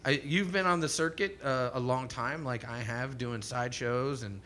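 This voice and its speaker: A man with a bright, forward voice hosts a live stream chat, rambling and swearing freely as he talks with his guest. A faint hum sits under the recording. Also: mid-range voice, moderate pace. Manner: rambling, profane, laughs often. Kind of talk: casual interview chat.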